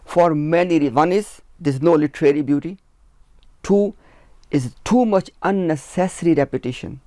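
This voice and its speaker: A man with a somewhat high voice lays out his argument point by point in a panel discussion. He speaks firmly and with emphasis, and the sound is dry and close. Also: moderate pace, warm tone, no room sound. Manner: methodical, counts points off one by one; emphatic, firm. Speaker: researcher of religious literature. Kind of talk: discussion panel, explaining at length.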